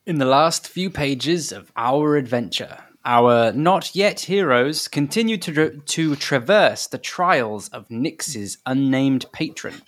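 A man narrating a recap of last week's tabletop adventure in a measured storytelling way. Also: medium pitch, lively intonation, a bit bright.

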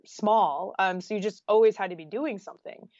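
A woman's voice: mid-range voice, normal speed, a little mellow, no room sound. Woman, American accent, chatty interview guest, casual storytelling, dry close sound, slightly dull recording. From Oregon, United States.

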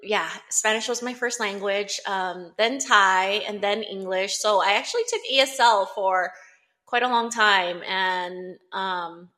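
Bright-voiced chatty woman guest. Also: mid-range voice, measured pace. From Southern California. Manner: chatty and giggly, with many fillers. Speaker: guest business owner. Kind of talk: casual podcast interview.

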